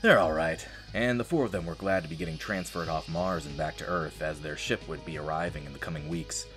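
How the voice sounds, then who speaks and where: A man acting a world-weary, deadpan space marine reduced to janitor, telling his story as dramatic audio drama narration. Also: rumbling low, fairly quick, soft background music. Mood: matter-of-fact. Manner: sardonic, deadpan, brooding. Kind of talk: dramatic first-person scripted narration.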